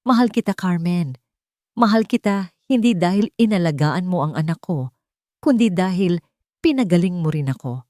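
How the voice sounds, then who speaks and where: A woman's voice: fairly low pitch, animated, normal speed, pauses often, warm tone, no echo, studio quality, natural voice. A woman with a Filipino accent voicing a sincere, serious millionaire father in love in an audio storybook. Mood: earnest, loving. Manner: earnest, serious.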